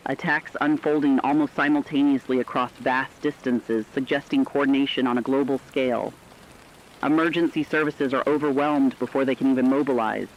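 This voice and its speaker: A man's voice: medium pitch, steady tone, moderate pace, lo-fi sound, slight background noise, natural voice. A man acting as a radio correspondent, reading scripted dramatic news in a solemn, earnest, rhetorical manner. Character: solemn radio correspondent reporting human survival amid apocalypse.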